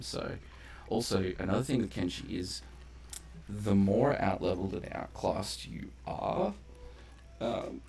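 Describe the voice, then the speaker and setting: Very deep, creaky-voiced man commentating a game. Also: a little mellow, a little hiss or hum. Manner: rambling, tangential, explains game mechanics in detail. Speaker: game streamer and narrator of a playthrough. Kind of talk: casual unscripted gameplay commentary.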